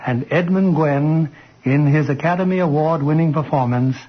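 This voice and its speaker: A man's voice: on the high side, moderate pace, heavy background noise. A man hosting and narrating a radio play in scripted 1940s announcer style. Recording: muffled vintage radio broadcast, music behind.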